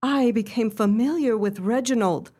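Radio drama client: genteel young lady, played by an actress, earnest, formal, fairly high voice. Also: normal speed, dark tone, close to an everyday voice. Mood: earnest, grave. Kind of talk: radio play acting.

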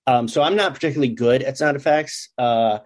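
A man's voice: mid-range voice, brisk. A man talking casually as a guest writer in an interview, with self-effacing, nerdy enthusiasm. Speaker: comic book writer, podcast guest.

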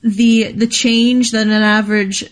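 A young woman co-hosting an anime show, thinking aloud in relaxed, casual conversation. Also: a little high, measured pace, smooth. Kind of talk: casual chat, discussing anime.

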